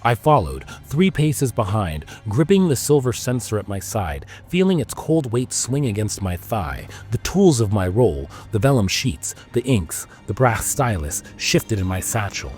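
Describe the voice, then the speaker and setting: Low, dramatic male horror narrator. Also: very animated, darkish tone, slightly rough. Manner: dramatic, ominous, deliberate phrasing. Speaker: audiobook narrator. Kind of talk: scripted first-person horror narration. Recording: music under the voice.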